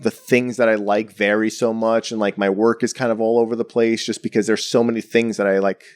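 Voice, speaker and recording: A man's voice: on the low side, flat delivery, a little fast, no echo. A young man from the Bronx, a painter chatting casually in an interview and filling his talk with "like" and "you know". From the Bronx, New York. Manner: rambling, heavy on "like" and "you know". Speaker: painter guest.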